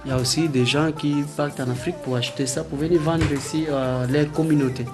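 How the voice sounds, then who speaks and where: A man in his thirties from the Democratic Republic of the Congo, a Congolese language his mother tongue, talking earnestly with halting restarts in a documentary interview. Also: on the high side, fairly even intonation, over music. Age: 30s.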